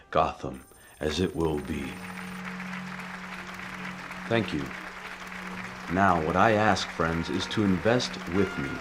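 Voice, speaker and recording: Male lead for audio drama: actor as brooding, authoritative billionaire vigilante, deep voice, deliberate and grave. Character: solemn, commanding male billionaire secretly a vigilante. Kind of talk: audio drama acting, measured speechmaking.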